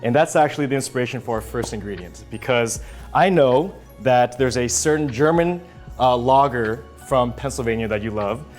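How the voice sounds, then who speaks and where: A man's voice: mid-range voice, moderate pace, soft background music. A man hosting a casual interview show, talking with an upbeat, enthusiastic manner in a cafe after hours. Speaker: host.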